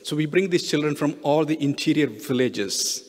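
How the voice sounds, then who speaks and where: A middle-aged man, a priest giving a homily with personal stories in an earnest, halting way, often restarting his phrases. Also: fairly high pitch. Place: church, at the pulpit. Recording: long hall reverb.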